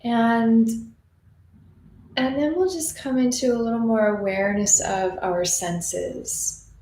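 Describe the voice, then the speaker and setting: A woman leading an unscripted guided meditation in a soothing, unhurried manner with long pauses. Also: a little high, dark tone. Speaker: meditation teacher. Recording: roomy echo, faint background noise.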